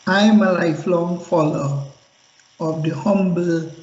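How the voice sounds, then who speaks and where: A man's voice: high voice, steady tone, measured pace, a little mellow. A man from Guyana, a guest on a radio panel, giving an earnest, speechlike account of his background. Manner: earnest, speechmaking self-introduction. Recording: echoey, dull lo-fi line.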